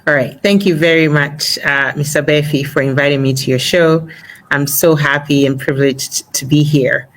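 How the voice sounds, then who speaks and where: A woman in her forties, originally from Ghana, talks as a guest in a casual interview. Her voice sits very low for a woman. She is chatty, laughs easily and fills pauses with little ums and uhs. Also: steady tone.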